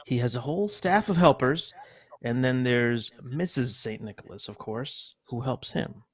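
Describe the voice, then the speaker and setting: A man playing a genteel gentleman who primly corrects and explains things to a boy in a live radio-play reading. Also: medium pitch, unhurried, slight background noise, natural voice. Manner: fussy, prim corrections. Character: genteel man telling a boy about Santa.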